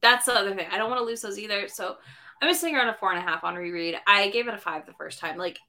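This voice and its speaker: A woman's voice: mid-range voice, racing, pushed and bright. A woman hosting a podcast, chatting casually about a book and thinking aloud as she goes. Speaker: podcast host.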